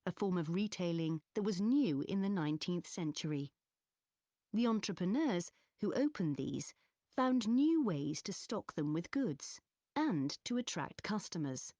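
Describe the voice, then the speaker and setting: A woman reads a scripted academic lecture in a fairly low voice with very lively intonation. Her delivery is measured and clearly articulated, and the sound is dry and close. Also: no echo. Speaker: lecturer in a listening test recording.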